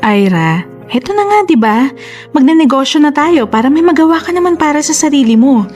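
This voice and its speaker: A woman's voice: high voice, sing-song, fairly quick, a little mellow, faint music behind. A woman playing a confident, supportive older sister and business partner in a Filipino radio drama, conversational and encouraging. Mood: reassuring, concerned. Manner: encouraging, conversational, English-peppered.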